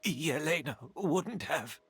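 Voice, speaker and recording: A woman voicing a vengeful, grief-stricken woman in a video game, husky and halting, with intense dramatic disbelief. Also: low voice, expressive, no echo, exaggerated voice. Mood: bitter, stunned. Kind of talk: English video game voice acting. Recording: music behind the voice.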